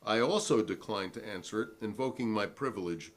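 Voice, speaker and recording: A man's voice: fairly low pitch, a little fast, a little hiss or hum, natural voice. A man playing a defiant, principled newspaperman who testifies formally and argumentatively at a 1958 hearing in a dramatic reading.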